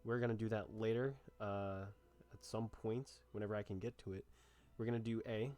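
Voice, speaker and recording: A man giving casual gameplay commentary in a halting, laid-back, joking way. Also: on the low side, steady tone, a little mellow. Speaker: game streamer.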